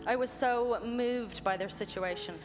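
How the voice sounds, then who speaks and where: Earnest woman in her thirties from Ireland. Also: a little high. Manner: earnest, measured, pausing for interpreter. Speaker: missionary guest. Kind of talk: testimony on stage. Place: large hall. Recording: echoey hall with music behind.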